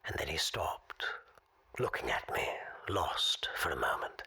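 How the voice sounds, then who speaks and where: An actor playing a weary, sinister old man in an audio drama, telling his tale formally and theatrically in a hoarse, breathy rasp with dramatic pauses. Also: extremely low pitch, lively intonation, sharp tone, whispered, full character voice. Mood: grave, haunted. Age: older.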